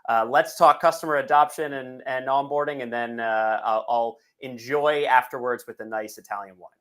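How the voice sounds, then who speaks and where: A man's voice: mid-range voice, fairly bright tone. An American man, a guest on a webinar interview, talking in an upbeat way with frequent fillers and restarts. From United States. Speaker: guest, onboarding executive.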